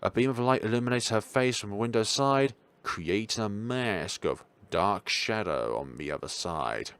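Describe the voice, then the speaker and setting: A man doing an expressive read-aloud of a visual novel, sometimes stumbling over words and rereading them. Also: fairly low pitch, moderate pace, clean tone, no room sound. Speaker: video game player reading a visual novel aloud. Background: background game music.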